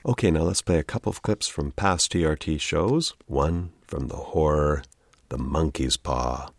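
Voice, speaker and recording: A man hosting a podcast, interviewing in a casual, conversational style. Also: extremely low pitch.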